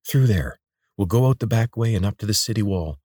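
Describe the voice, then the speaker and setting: A man's voice: lowish voice, animated, fairly quick, dark tone, dry recording, clean studio sound, pitched above the performer's own voice. A man voicing an angry, suspicious young woman guard who speaks sharply and accusingly. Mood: hostile.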